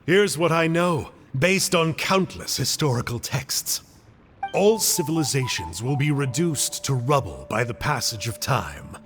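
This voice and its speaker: Slow, lecturing man as a cult scholar. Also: mid-range voice, expressive, natural voice. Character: calm scholarly male cult agent, mocking and cold. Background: game music.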